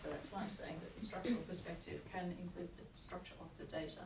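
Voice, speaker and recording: Croaky woman lecturing in an echoey hall. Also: fairly low pitch. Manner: measured, explanatory, frequent "okay" and "um" checks. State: croaky, recovering from lost voice. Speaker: university lecturer. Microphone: room recording from far off. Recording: echoey hall, distant mic, noisy.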